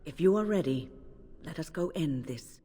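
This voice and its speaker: Stern old lady mage from a fantasy game, low n husky, measured, big echoey hall. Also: animated, warm tone. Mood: calm, firm. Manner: measured, formal, grave. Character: stern, composed elder woman mage, resolute protector. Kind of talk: video game voice acting. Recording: long hall reverb on voice.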